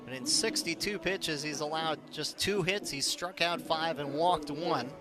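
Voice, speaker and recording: A high-voiced American man delivers live radio baseball commentary. He narrates the game in a measured, detailed way and lifts readily into excitement when the action builds. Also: over music. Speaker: baseball play-by-play announcer.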